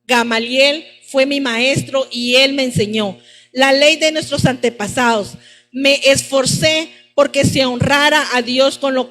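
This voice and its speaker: A woman's voice: high voice, steady tone, measured pace, fairly bright tone, soft background music. A woman preaching a teaching sermon, addressing her congregation and repeating phrases for emphasis. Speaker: preacher at a church service. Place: church service, live-streamed.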